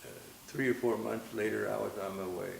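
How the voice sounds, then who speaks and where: A man in his nineties, from Austria, reminiscing gently and haltingly in an interview, often repeating words as he recalls. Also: on the low side, fairly even intonation, vocal fry. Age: 90s. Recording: roomy echo with faint hiss.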